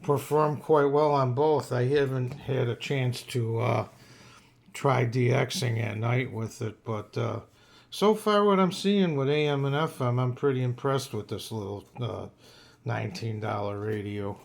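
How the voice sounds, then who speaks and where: Gravelly-voiced man casually demonstrating gear. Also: mid-range voice, steady tone, measured pace, darkish tone. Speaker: radio reviewer and hobbyist host.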